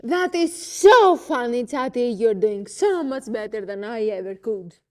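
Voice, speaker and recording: A woman playing an exasperated comedy writer who scolds her AI, expressive and emphatic. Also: fairly high pitch, measured pace. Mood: puzzled.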